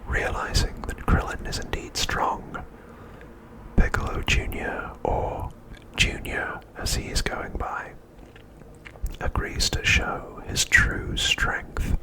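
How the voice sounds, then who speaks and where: Slow whispering man, ASMR narration. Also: low-quality recording. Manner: soft, unhurried whispering with deliberate pauses. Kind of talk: whispered ASMR, scripted episode recap narration.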